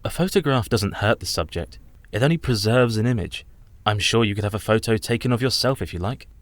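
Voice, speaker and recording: An actor playing an earnest, polite young solicitor in a radio play, speaking formally and precisely. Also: male voice, lowish voice, lively intonation, a little fast, no echo, close to an everyday voice. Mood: polite, businesslike.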